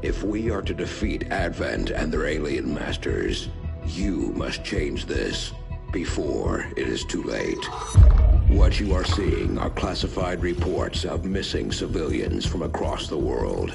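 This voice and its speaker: A man playing a shadowy, grave councilman in a game cutscene, speaking solemnly and deliberately as a secret resistance ally. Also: rumbling low, very animated, creaky, music in the background, exaggerated voice. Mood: urgent, solemn. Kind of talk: scripted game cutscene briefing.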